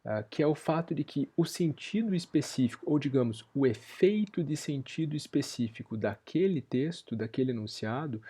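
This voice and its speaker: A male lecturer with a dark, mellow tone. His voice is a little husky, and he works through ideas aloud, restating and refining each point. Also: fairly high pitch. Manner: thoughtful, rephrasing as he goes. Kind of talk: university lecture.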